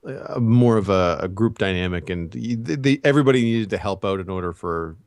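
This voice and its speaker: Man in his 30s, low voice, dry recording, podcast co-host, casual explanatory talk. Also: a little fast, a little mellow, dry recording. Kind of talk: casual discussion.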